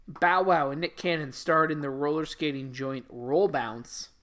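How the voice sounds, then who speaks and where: Male trivia co-host, fairly high bright voice, sarcastic jokey banter. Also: unhurried. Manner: joking, sarcastic, lively. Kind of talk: casual comedic chat reading trivia answers.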